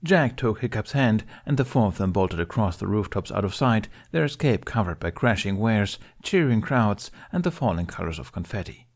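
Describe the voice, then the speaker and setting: A man reading fan fiction aloud as narrator, in an expressive scripted storytelling style. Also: fairly low pitch, normal speed, few pauses.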